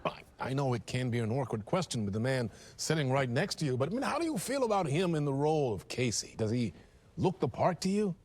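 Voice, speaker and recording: An actor playing a smooth, probing television host, interviewing with needling politeness in a video game. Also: male voice, mid-range voice, sing-song, brisk, a little mellow. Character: probing, smooth-talking male television talk show host. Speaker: talk show host.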